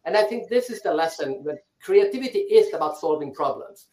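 A man's voice: fairly high pitch, animated, brisk, dark tone. A man from Sweden, a guest speaker answering interview questions thoughtfully and often restarting his words. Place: rooftop of his island house. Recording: echoey online audio.

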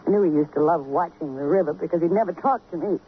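An actress playing a grief-stricken, self-pitying wife of a murdered man in halting, intense radio drama style. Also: lowish voice, slightly rough. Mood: numb grief. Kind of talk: old-time radio drama acting. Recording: tinny, noisy vintage radio recording.

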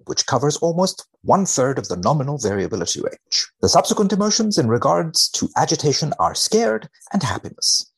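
Low, gravelly man with deadpan delivery. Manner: deadpan, measured, dry humour. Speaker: podcast guest, biomedical researcher. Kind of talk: wry conversation and dramatic reading of a research paper.

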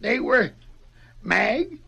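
A bumbling, excitable cowboy in an old radio western. His creaky, high voice swings wildly as he stammers in a rustic Western American drawl. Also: very slow, full character voice. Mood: sheepish worry. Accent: rustic American West. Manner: stammering, flustered, folksy. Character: excitable, bumbling cowboy blabbermouth named Missouri.

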